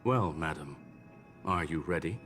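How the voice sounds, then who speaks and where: An actor voicing a learned, formal gentleman robot in a video game, with courteous, measured phrasing. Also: very deep voice, expressive, exaggerated voice. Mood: courteous, guiding. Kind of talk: scripted video game dialogue. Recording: game music behind.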